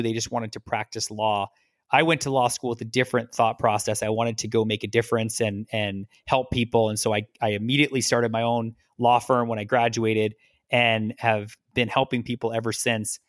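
A middle-aged man from the United States, a lawyer, telling his story as a podcast guest in a relaxed, rambling way with repeated words. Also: medium pitch, no echo, clean studio sound. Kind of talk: casual interview storytelling.

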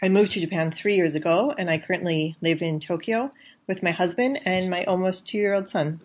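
A woman in her thirties, originally from Chicago, answers interview questions in a calm, measured way. Her voice sits a little low and stays steady. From Chicago, USA. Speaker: guest, accountant and yoga teacher.

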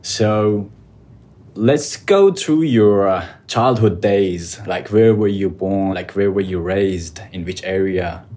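A man's voice: deep voice, slow pace, mellow. A man interviewing a podcast guest in a friendly, informal way, with many "like" fillers. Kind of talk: casual interview conversation.

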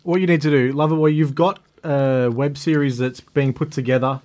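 A man's voice: fairly high pitch, steady tone, normal speed, a little mellow. A man hosting a podcast, playfully asking the guest questions. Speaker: podcast host. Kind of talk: interviewing a guest.

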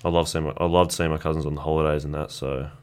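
Deep fast-talking Australian young man. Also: clear, resonant tone. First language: Croatian. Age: young adult. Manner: relaxed, rambling storytelling with restarts. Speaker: guest athlete. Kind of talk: casual chat.

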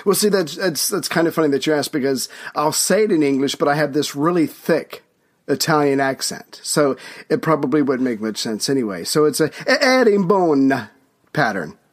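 A man co-hosts a podcast in relaxed, casual chat. He answers with dry, deadpan humor. His voice sits a bit higher than most men's. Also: normal speed. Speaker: podcast co-host.

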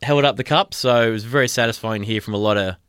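Smooth-voiced Australian man hosting a podcast. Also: mid-range voice, fast, no echo. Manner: relaxed, rambling with fillers. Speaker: podcast host. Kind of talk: casual sports podcast chat. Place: radio studio. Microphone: studio mic.

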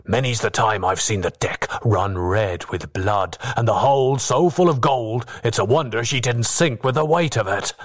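A man's voice: medium pitch, close to an everyday voice. Man acting a sly, boastful old pirate. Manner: rough sailor's dialect, drawn-out persuasive speech.

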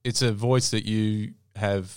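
Man, lowish voice, hesitant host, stumbles over words, dry sound. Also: fairly even intonation, smooth, no echo. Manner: halting, repeats words while forming questions. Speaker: podcast host. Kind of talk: casual interview chat.

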